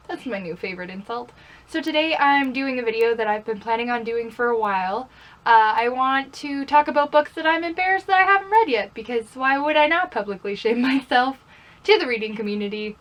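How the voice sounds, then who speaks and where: A woman's voice: a little high, animated, fairly quick, a bit bright. A young woman chatting casually in a vlog style, playfully self-deprecating and often putting questions to herself. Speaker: book vlogger. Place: bedroom.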